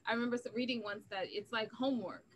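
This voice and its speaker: A woman's voice: a little high, steady tone, fast talker. A woman co-hosting a show in casual, off-the-cuff chat. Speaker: podcast co-host. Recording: faint background noise and ambience.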